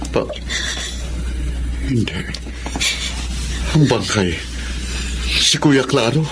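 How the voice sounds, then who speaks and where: A male actor with a Filipino accent playing a remorseful middle-aged funeral parlour owner in a radio drama, speaking earnestly and haltingly. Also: medium pitch, lively intonation, noisy background. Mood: businesslike.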